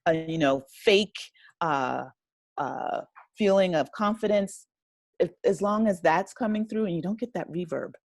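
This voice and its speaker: A woman's voice: fairly low pitch, unhurried, a little mellow, dry recording. Woman guest, dry close sound, casual. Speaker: interview guest, audio drama writer and director. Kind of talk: casual conversational interview.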